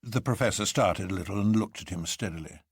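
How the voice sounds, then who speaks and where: A man's voice: on the low side, a little fast, vocal fry, breathy edge, no echo, studio quality. A man narrating a story expressively from a script, as a storyteller reading dramatic fiction.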